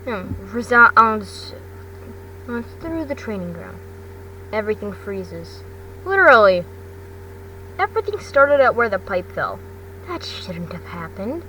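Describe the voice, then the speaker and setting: A woman narrating a story in an amateur audiobook style, with a halting delivery full of stumbles and self-corrections. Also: medium pitch, big pitch swings, pushed and bright, far from the mic, slight background noise, poor audio. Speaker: fan fiction reader.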